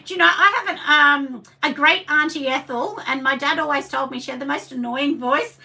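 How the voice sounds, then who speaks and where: A chatty Australian woman with a husky voice, hosting a live craft class and rambling to her viewers. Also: high voice, moderate pace, bright, forceful tone. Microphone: camera set down at a distance. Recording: voice a bit distant from the mic.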